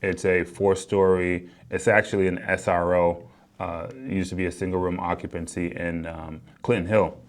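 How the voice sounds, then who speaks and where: A man with a deep voice and an American accent speaks casually to a vlog audience. He explains things as a working real estate developer and agent. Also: level tone, moderate pace.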